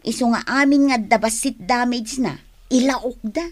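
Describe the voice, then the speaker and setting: A woman's voice: fairly high pitch, normal speed, close to an everyday voice. A woman with a Filipino accent playing a chatty, complaining fruit stall worker in a radio drama. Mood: gossipy, complaining. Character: grumbling, gossipy female fruit stall worker. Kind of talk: Ilocano radio drama acting.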